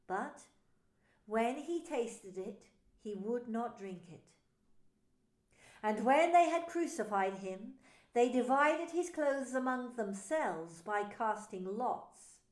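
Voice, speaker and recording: A woman pastor narrating a scripture story in a slow, solemn reading. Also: a little high, lively intonation, a little mellow, echoey room.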